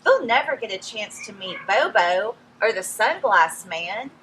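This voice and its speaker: A woman voicing a brisk, upbeat grandmother in a children's storybook, folksy, emphatic and gently chiding, recorded outdoors. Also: mid-range voice, big pitch swings, rough recording. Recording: some background noise, outdoor ambience.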